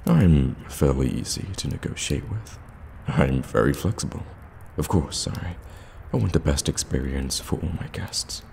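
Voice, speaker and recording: A man playing a sly, courteous warlock shopkeeper in an ASMR fantasy roleplay, addressing the listener with teasing, soft-spoken flattery. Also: rumbling low, measured pace, vocal fry, heavily acted. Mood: silky, reassuring. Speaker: voice actor in an audio roleplay. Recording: cavernous reverb, ambient background noise.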